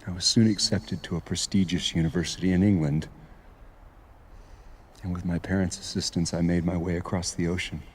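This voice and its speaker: A man's voice: low voice, flat delivery, fairly quick, breathy edge. An actor playing an earnest, emotional male bishop in a video game cutscene, with music behind him. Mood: wistful. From Ireland.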